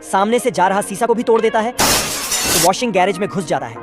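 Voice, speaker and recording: A narrator reads a scripted play-by-play of slapstick cartoon clips. The voice sits at a middling pitch, with very loud music running behind it. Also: animated, a little fast.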